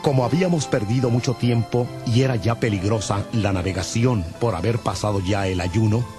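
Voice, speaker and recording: A man narrating scripture as a scripted audio Bible, in a measured, solemn manner. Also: lowish voice. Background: music.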